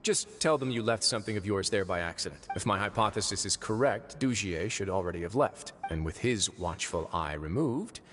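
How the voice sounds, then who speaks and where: An actor playing a composed, calculating adult male prison warden in a video game, speaking calmly with quiet wryness. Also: fairly low pitch, a little hiss or hum. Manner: calm, measured, quietly wry. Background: faint game music.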